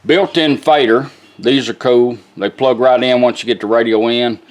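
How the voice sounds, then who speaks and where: A man casually demonstrating restored equipment in an informal, folksy, unhurried way. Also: mid-range voice. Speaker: radio restorer demonstrating a product.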